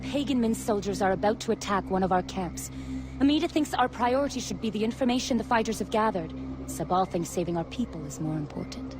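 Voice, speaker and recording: An actress playing a weary, respectful female rebel in a video game, sincere and soft-spoken. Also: mid-range voice, brisk, natural voice. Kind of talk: video game cutscene acting. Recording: music behind the voice.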